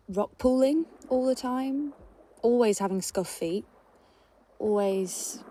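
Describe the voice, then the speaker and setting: A woman's voice: mid-range voice, dark tone, slight background noise. A woman sharing personal memories in an earnest, conversational, emphatic way, reflecting as she speaks.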